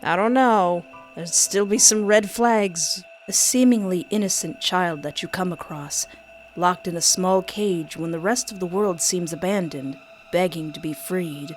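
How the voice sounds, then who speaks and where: A woman's voice: fairly low pitch, a little slow, rough recording. A woman hosting a countdown video, telling it as scripted narration in a dramatic, emphatic way. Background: music.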